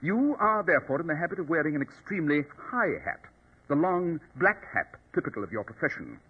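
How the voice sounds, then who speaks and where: An actor in a 1940s radio drama playing a coolly superior, sardonic detective with a clipped, precise, imperious delivery. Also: male voice, on the high side, very animated, fairly quick, breathy edge. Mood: cool, analytical. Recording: dull, lo-fi old radio recording.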